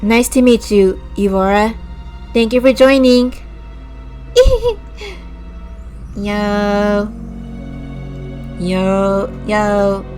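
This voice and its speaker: A woman with a slightly raspy throat reads a visual novel aloud at an unhurried pace, stopping to restart stumbled words and to drop short disgusted reactions. She talks into a streaming desk mic. Background music plays clearly under her voice. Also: mid-range voice, a little mellow. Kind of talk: slow dramatic read-aloud with casual asides to chat. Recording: music playing clearly behind the voice.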